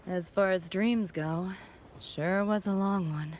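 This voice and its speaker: A woman's voice: medium pitch, takes it slowly, darkish tone, a little hiss or hum, natural voice. A woman playing a cool, wry young mercenary in a video game, guarded but caring, with clipped, slangy lines. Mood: wistful, resigned. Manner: laconic, clipped slangy delivery. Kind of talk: video game story dialogue.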